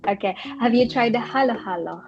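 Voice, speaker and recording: A woman's voice: a little high, a little fast, lo-fi sound, soft background music. Cheerful woman podcast host. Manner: cheerful, encouraging, many "yes" and "mm-hmm". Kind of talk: casual interview chat.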